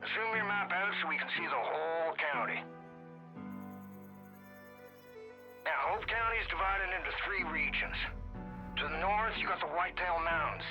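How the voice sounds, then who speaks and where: An actor playing a gruff older American survivalist guide, giving a plain-spoken, folksy mission briefing over the radio in a video game. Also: male voice, on the high side, fairly quick, muffled recording, music in the background. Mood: matter-of-fact, grim.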